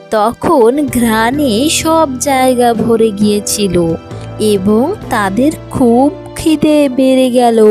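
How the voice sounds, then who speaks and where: A woman's voice: on the high side, darkish tone. A woman reading scripted narration for a children's fairy tale as its storyteller.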